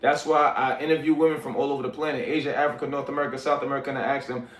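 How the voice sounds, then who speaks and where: A man's voice: mid-range voice, little pitch movement, rapid-fire. Laid-back slangy man in an echoey room. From Liberia. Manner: laid-back, addresses viewers as y'all, slangy. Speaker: livestream host reading chat. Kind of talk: casual livestream chat. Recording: roomy echoey sound.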